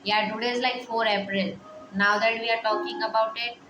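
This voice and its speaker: A woman's voice: on the high side, fairly even intonation, fairly quick, background noise. Rambling young woman, echoey room. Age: 20s. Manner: rambling, hesitant, heavy on fillers; quietly upset, reflective. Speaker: vlogger. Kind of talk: personal confessional vlog. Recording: echoey room with music playing behind.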